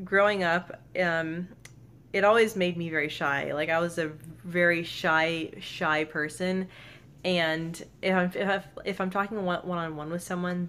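A young woman talks straight to a nearby camera in her bedroom, low in pitch and earnest. She stutters, repeating first sounds and catching on words before they come out. A faint hiss sits under the voice. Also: a bit bright. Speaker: vlogger. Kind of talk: personal vlog talk to camera. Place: bedroom, indoors. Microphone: camera close in front.

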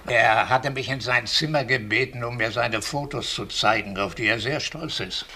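Bright-voiced retired policeman. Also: medium pitch, normal speed, husky. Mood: precise, recounting. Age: older. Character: retired male police officer, shrewd and precise. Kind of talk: German crime radio play acting.

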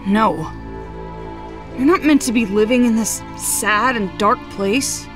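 A woman voicing a spirited, stubborn, kind-hearted young ninja hero in an anime game dub, earnest and expressive. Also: a little high, slow pace, over music. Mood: determined, earnest.

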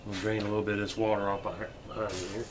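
A man's voice: fairly low pitch, level tone, very fast, noisy background. A middle-aged man chatting casually, with frequent fillers, as he demonstrates a camping mess kit at a table and then in his backyard. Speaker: gear reviewer. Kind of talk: casual show-and-tell demonstration.

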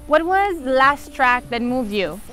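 A woman with a slightly high voice asks brief interview questions. Faint music runs behind her as she moves through them one at a time. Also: sing-song, a little slow, pushed and bright. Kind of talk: reading short interview questions.

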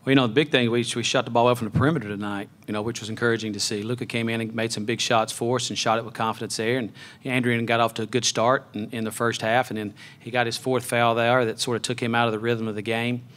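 Brief: middle-aged male coach, quick and level, postgame presser on a table mic. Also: mid-range voice, little pitch movement, fairly bright tone. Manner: measured, many fillers and restarts. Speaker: head basketball coach. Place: press conference room. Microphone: table mic on a stand.

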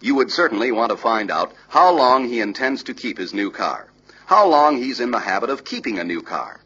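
An American man narrates an early 1960s sales training film with a bright, forceful voice. His pitch moves briskly up and down as he drives each point home persuasively. The sound is a slightly dull, lo-fi old film soundtrack. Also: sing-song, a little hiss or hum. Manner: emphatic, persuasive salesman-like delivery.

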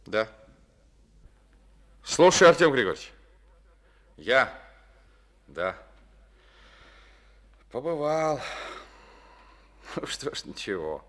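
An actor playing a calm, reserved former colonel now a party secretary, speaking tersely in an old radio play. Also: male voice, mid-range voice, drawn-out words, pauses often, heavily acted. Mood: businesslike. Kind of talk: Soviet radio play acting. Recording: old studio radio recording.

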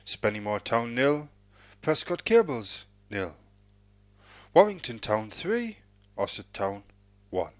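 A man reading out football results as an announcer, in a measured, formulaic sing-song cadence. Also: mid-range voice, very animated, no room sound.

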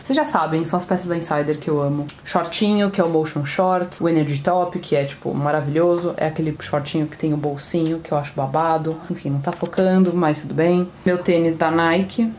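A woman's voice: deep voice, mellow, slight background noise. A woman in her mid-twenties with a São Paulo Brazilian accent, chatting casually and informally to a camera at home, slangy and self-deprecating. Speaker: vlogger. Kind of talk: casual daily vlog chat to camera. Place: small rooms at home, indoors.

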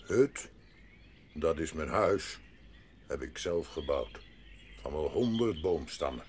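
An actor playing a gruff, grumpy old mountain grandfather in a Dutch audio drama, barking and muttering to himself. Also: a bass voice, lively intonation, slow pace, a little hiss or hum, full character voice. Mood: grudging.

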